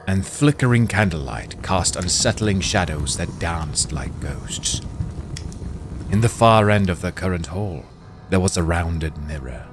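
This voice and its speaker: A deep, low male voice reads a spooky story with lively, rising and falling expression. Music plays clearly underneath the narration. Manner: expressive, hushed in tense moments.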